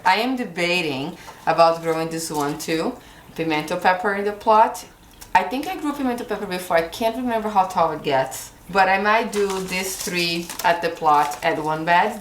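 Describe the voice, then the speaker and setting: A woman's voice: fairly low pitch, roomy sound, slight background noise, low-quality recording. A woman in her thirties with a Brazilian Portuguese accent, chatting casually to camera at home about her garden plans. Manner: chatty, gestures while holding up seed packets. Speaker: gardening vlogger. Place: indoor kitchen corner at home.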